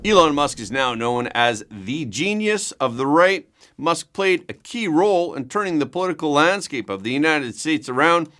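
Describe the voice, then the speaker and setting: A man reading scripted news as an anchor, with a measured, emphatic delivery. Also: on the high side, expressive, fairly bright tone.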